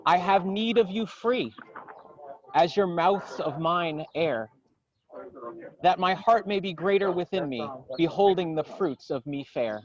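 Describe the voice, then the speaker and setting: A man gives a dramatic poetry recitation outdoors, in solemn, declamatory, measured phrases. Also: fairly high pitch, highs cut off, a little hiss or hum. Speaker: poetry reader. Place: outdoors in a garden.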